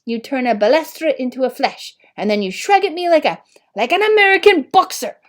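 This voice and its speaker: A woman's voice: sits high, lively intonation, a little fast, voice pushed up. A woman voicing a furious, scornful French fencing teacher, a man, in an emphatic, scolding storybook manner.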